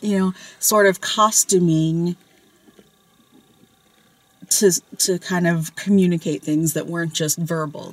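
A woman discussing a film in a parked car at night, speaking thoughtfully and often adding short agreeing replies. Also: on the low side. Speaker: co-host.

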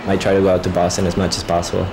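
Deep, monotone young man, talking fast. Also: creaky, a crowd in the background, background noise. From Mexico City, Mexico. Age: young adult. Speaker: college student. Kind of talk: interview testimonial.

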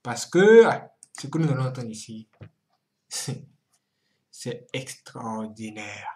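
A man, middle-aged, hosting an online video with animated reaction commentary, exclaiming and repeating phrases for emphasis. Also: mid-range voice, slow pace. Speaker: YouTube host.

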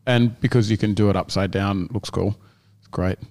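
A man's voice: lowish voice, fairly even intonation, fairly quick, darkish tone. A man co-hosting a casual gym podcast, rambling and often restarting as he searches for words. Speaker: gym owner and podcast co-host.

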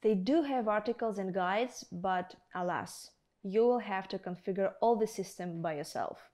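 Young woman, medium-pitched voice, video presenter, scripted promotional delivery, speaks straight to viewers. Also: normal speed, mellow. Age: young adult. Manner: addresses viewers directly, rhetorical questions. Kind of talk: scripted promotional product review. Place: indoor studio with plain backdrop.

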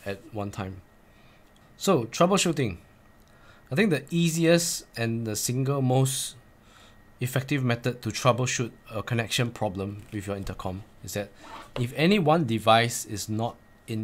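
A man's voice: fairly low pitch, slight background noise. A man in his forties presenting a step-by-step tutorial in a plain, instructional way, repeating key points for emphasis. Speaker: presenter of motorcycle gear tips.

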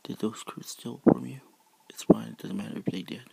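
A man's voice: fairly low pitch, steady tone, brisk, gravelly, poor audio. A man whispering the part of a worried, devoted male fox creature in a read-aloud story. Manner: whispered, fretful and tender.

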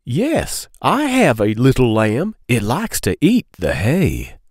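A man in his thirties reads aloud for young children in a close, dry recording. His pitch swings widely and the phrasing is simple and clear, as a storytime host talks. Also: wildly swooping pitch, slow, no room sound.

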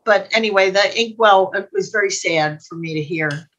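A woman's voice: medium pitch, moderate pace, fairly bright tone, lo-fi sound. An older American woman chatting casually and in a rambling, conversational way as a co-host on a video call. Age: older, 60s or above. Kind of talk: casual chat. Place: indoor room at home, video call. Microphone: computer mic on video call.

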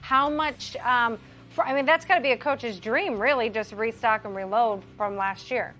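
A woman hosting a studio sports show, introducing guests and asking questions in a smooth, conversational way. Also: on the high side, normal speed, fairly bright tone, faint music behind. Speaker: studio host. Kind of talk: broadcast hosting.

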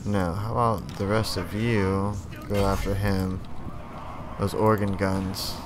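A man casually commentating his own strategy game as he plays, barking short orders at his units and swearing freely, his voice a bit worn and often clearing his throat. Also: deep voice, flat delivery, slow. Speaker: let's play host. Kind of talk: casual live gameplay commentary. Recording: noticeable background noise.

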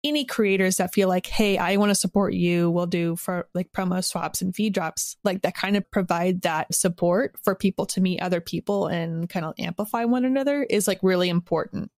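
A woman with a fairly low voice talks as an interview guest. She is informal and reflective, thinking aloud as she goes. The recording is close and dry. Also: moderate pace, hardly any pauses, darkish tone, no echo. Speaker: interview guest, audio drama creator. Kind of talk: casual, reflective interview talk.

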